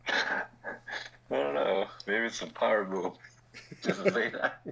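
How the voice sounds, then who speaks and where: A man in his forties co-hosting a podcast, laid-back and quick to giggle. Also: mid-range voice, big pitch swings, creaky, fairly bright tone, slightly rough, poor audio. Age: 40s. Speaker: podcast co-host. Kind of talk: casual podcast chat.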